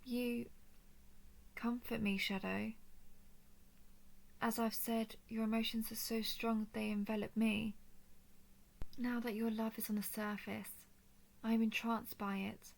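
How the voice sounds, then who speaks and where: Noble dragon lady, played by a woman: solemn, earnest, soft-spoken, flat melody, touch of creak, intimate roleplay monologue. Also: a little high. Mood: tender, soothed. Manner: formal, measured, earnest speech. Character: noble female dragon of a ruling house, gentle, solemn.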